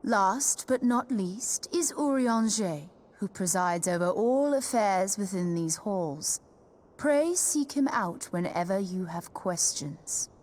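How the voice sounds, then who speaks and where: A solemn maiden scholar in a fantasy game. She is a woman with a medium-pitched voice and slow, deliberate delivery. Her manner is poised and formal. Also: animated, darkish tone. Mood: calm, solemn. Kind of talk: video game cutscene acting.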